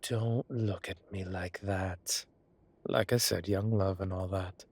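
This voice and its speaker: A man playing an ancient, teasing godlike being with a soft romantic streak, in a theatrical, drawling and indulgent manner for a roleplay audio drama. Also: low voice, fairly even intonation, slow pace, husky, full character voice. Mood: musing, tender.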